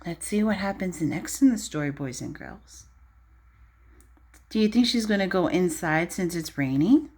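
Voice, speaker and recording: A woman teacher reading a storybook aloud to young children in an expressive, child-directed way, pausing to explain and ask questions. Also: on the low side. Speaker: preschool or early primary teacher.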